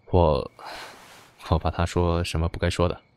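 A man playing a caring, reserved boyfriend in an audio drama, speaking in a gentle, low-key way. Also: monotone, moderate pace, clean tone. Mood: uneasy. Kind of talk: Chinese audio drama acting.

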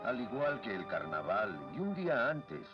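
A man's voice: medium pitch, sing-song, moderate pace, close to an everyday voice. A man voicing a grave, cautious soldier mentor in a dubbed cartoon. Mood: concerned. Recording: dull lo-fi cartoon soundtrack, music behind.

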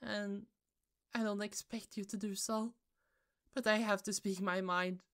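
Slow, halting hurt office coworker played by a woman. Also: mid-range voice, steady tone, a bit bright, voice pushed up. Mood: upset, pleading. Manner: halting, pleading, sighing pauses. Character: hurt, fed-up female office coworker confronting a bully. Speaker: ASMR roleplay performer. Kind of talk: ASMR roleplay, slow intimate monologue.

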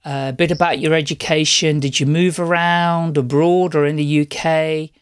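A man's voice: a little high, flat delivery, normal speed, clear, resonant tone, dry recording, clean studio sound. A man hosting a business podcast, upbeat and enthusiastic as he asks questions. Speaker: podcast host. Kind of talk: podcast interview.

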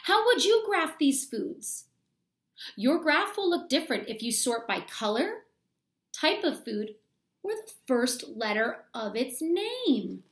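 A woman in her thirties reads an instructional book aloud for young children. Her voice sits fairly high and has a clear creak to it. She explains each point step by step, often asking the listeners questions as a schoolteacher would. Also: very animated, takes it slowly. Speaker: elementary school teacher reading aloud. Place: small room at home, indoors.

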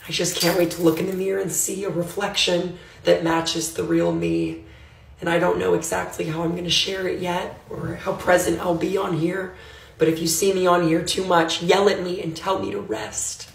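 A woman recording a confessional phone video, hoarse from laryngitis, talking earnestly and emotionally. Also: on the low side, little pitch movement, normal speed. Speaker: social media creator. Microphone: phone at arm's length. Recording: echoey room, far from the phone.

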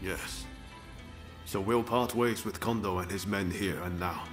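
A man's voice: sits low, unhurried, soft background music. An actor playing an earnest, thoughtful young samurai swordsman in a video game cutscene.

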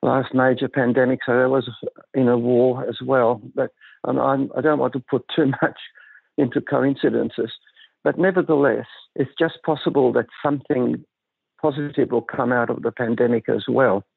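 Older male guest, gravelly and husky, measured and reflective, heard over a dry, tinny phone line. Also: mid-range voice, fairly even intonation, no echo. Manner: measured, thoughtful, halting with restarts. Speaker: guest psychiatrist. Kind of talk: reflective interview talk.

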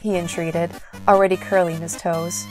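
A woman's voice: lowish voice, little pitch movement, moderate pace, a little mellow, soft background music. A woman reading scripted story narration as the narrator of an audio drama.

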